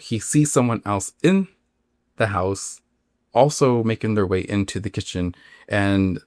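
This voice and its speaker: A man who hosts a podcast, telling a story casually in an informal, halting way full of filler words. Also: on the low side, a little mellow, no room sound. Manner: informal, halting, filler-heavy with acted-out quotes. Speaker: podcast host.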